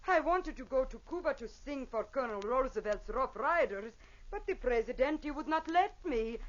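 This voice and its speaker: A charming, imperious Italian opera star, speaking with an Italian accent and theatrical flair. Her voice sits fairly high and swoops expressively. It is old radio drama, on a dull vintage recording. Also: expressive, moderate pace. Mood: proud, playful. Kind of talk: 1940s-50s radio drama acting.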